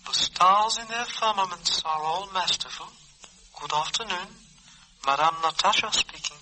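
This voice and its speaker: An actress playing a grand, mystical fortune-teller in 1940s radio drama style, with formal, theatrical pronouncements. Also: female voice, fairly low pitch, moderate pace, pushed and bright. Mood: grandly mysterious. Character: grandly mystical lady fortune-teller taking a phone call. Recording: noisy vintage radio recording.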